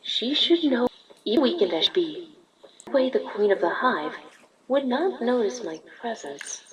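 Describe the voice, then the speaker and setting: A woman's voice: on the high side, big pitch swings, moderate pace. A woman voicing an anxious, regal pony princess musing to herself in a fan fiction reading. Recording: noisy, quiet hobby recording.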